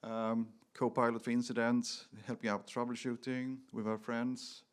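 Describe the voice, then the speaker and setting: A man giving a technical product presentation to an audience, speaking in a halting, emphatic way with slightly non-native phrasing. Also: medium pitch, level tone, clear, resonant tone. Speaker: conference presenter.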